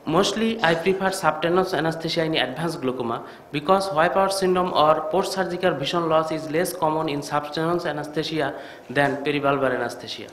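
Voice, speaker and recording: A middle-aged man from Bangladesh, an eye surgeon giving a conference talk, speaking in an earnest, measured way at a podium microphone in a large hall. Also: on the high side, a bit bright, a little hiss or hum. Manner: earnest, measured, slightly halting. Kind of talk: conference lecture. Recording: echoey hall with faint ambience.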